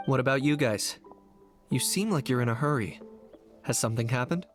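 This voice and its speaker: A man playing a calm, practical young adventurer in a fantasy video game. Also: medium pitch, normal speed, soft background music, lightly acted. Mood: calm, attentive.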